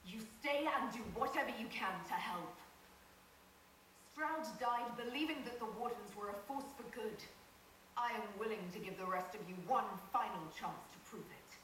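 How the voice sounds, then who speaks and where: A woman playing a commanding elven heroine in a video game, firm, measured and authoritative. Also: on the high side, a bit bright, close to an everyday voice. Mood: stern. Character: commanding elven heroine leading a holy army. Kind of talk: video game cutscene dialogue. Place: game audio re-recorded in a room by camera. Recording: very echoey, distant off-screen capture, hissy.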